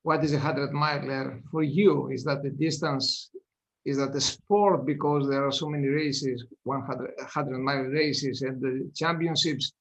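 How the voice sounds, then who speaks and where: A man hosting a podcast interview, asking questions eagerly and warmly, with somewhat nonstandard English phrasing. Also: medium pitch, fairly even intonation, measured pace, rough recording. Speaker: podcast host. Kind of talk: casual interview conversation.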